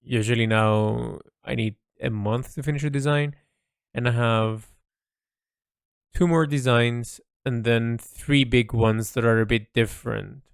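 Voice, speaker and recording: A man's voice: medium pitch, fairly even intonation, a little slow, gravelly, clean tone, no room sound, clean studio sound. A man keeping a reflective, unscripted audio log as an artist and writer, speaking hesitantly and often searching for the right word. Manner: hesitant, searching for words, trailing into "sort of". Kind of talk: reflective solo monologue, casual and unscripted.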